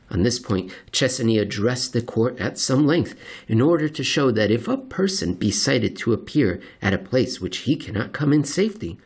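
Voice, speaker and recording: Husky man reading an essay aloud. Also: medium pitch. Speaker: podcast host reading aloud. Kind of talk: scripted narration, dramatic essay reading.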